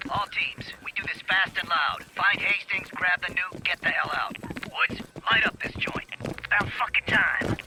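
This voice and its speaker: A man's voice: high-pitched, measured pace. A man playing a brash, foul-mouthed, hard-driving commando in a military action video game. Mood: gruff, driving. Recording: noisy battlefield sound with clicks.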